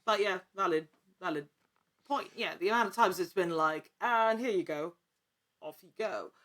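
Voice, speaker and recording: A woman with a slightly low voice talks casually as an interview guest. She tells stories in an animated, chatty manner, slipping into quoted lines as she goes. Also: normal speed. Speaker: podcast guest, actor and producer in actual play. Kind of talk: casual conversational interview, anecdotal.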